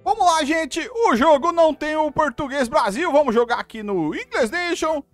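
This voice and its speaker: A woman with a Brazilian accent giving casual, expressive gameplay commentary and reading dialogue aloud as host. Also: high-pitched, moderate pace, faint music behind. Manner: expressive, informal.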